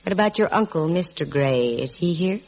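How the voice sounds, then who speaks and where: An actress playing a sharp-witted female private detective in an old radio drama, with a dry, slangy, sardonic delivery. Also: on the low side, lively intonation, fairly quick. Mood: sardonic, brisk. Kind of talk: old-time radio acting. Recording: vintage radio recording with music.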